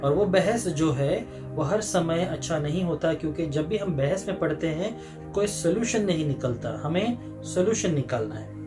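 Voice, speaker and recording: Man, Indian accent, pastor preaching, higher-pitched voice, hesitant explanatory delivery, clear background music. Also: lively intonation, moderate pace. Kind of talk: sermon with prayer to an online congregation.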